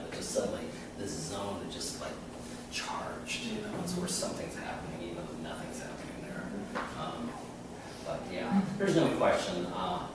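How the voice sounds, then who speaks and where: A middle-aged man giving an informal artist talk in a small gallery, chatty and animated, joking and restarting sentences. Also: sits low. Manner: chatty and animated, gestures a lot, jokes and restarts. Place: small art gallery, indoors. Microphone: far camera. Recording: echoey room from far off, noisy background.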